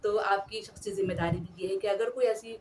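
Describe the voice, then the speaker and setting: A woman's voice: medium pitch, steady tone, fairly quick. A woman hosting an etiquette talk, speaking earnestly and politely to her listeners. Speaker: host. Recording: distant mic with clear background noise.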